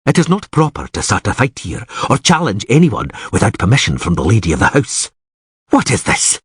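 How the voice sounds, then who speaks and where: A man playing a calm, grim island lord who speaks in a measured, scathing way. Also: fairly low pitch, slightly rough, dry recording, clean studio sound, heavily acted.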